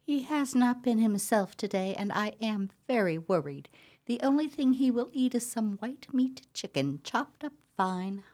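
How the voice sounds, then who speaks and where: A woman's voice: a little high, lively intonation, measured pace, darkish tone. A woman playing a fussy, doting society lady in a radio play. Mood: fretful.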